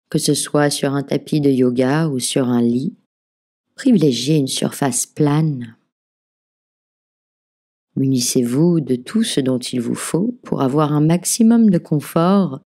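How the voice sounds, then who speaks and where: A woman leading a guided relaxation as a meditation teacher, giving gentle, soothing, measured instructions. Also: extremely low pitch. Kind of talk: guided yoga nidra relaxation narration.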